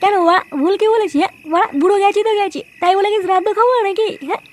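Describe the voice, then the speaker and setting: An extremely high, quick-firing cartoon voice plays a crude village slacker. The character is hot-tempered and sweary. Clear noise sits behind the voice. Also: steady tone. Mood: argumentative. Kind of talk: comedy cartoon dialogue.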